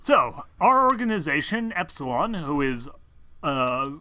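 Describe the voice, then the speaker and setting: A man's voice: fairly high pitch, big pitch swings, unhurried, background noise. A man acting as game master in a casual online tabletop session, prone to swearing. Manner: foul-mouthed.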